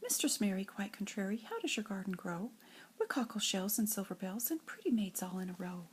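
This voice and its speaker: An older woman with a breathy, husky voice reads nursery rhymes like a grandmother, in an expressive singsong storytelling way. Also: mid-range voice, fairly quick, a little hiss or hum. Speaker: grandmother reading to children.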